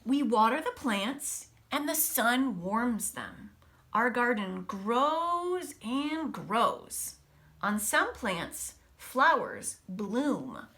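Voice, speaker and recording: A woman teacher reading a picture book aloud to children, expressively, with casual, hushed explanatory asides. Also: fairly high pitch, very slow. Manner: animated storybook delivery, dropping to hushed asides.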